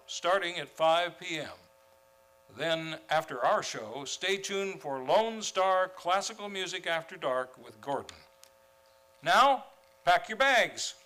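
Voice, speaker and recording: Casting: male series host, theatrical old-time radio announcer, higher-pitched and very bright. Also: rough recording. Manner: theatrical, grandly formal. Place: theater stage, before a live audience.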